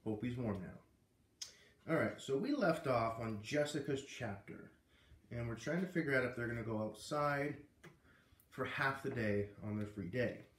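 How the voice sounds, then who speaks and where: A man's voice: medium pitch, moderate pace, a little hiss or hum. A man in his thirties reading a storybook aloud casually in a living room, recorded by a camera a few feet away. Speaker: read-aloud host. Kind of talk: casual storybook read-aloud with chatty asides. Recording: roomy, echoey home recording.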